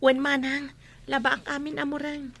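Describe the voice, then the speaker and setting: A woman's voice: high voice, monotone, moderate pace, vocal fry, fairly bright tone, a little hiss or hum. An actress playing an aggrieved young woman pleading her case, with a Filipino accent, in radio comedy-drama style.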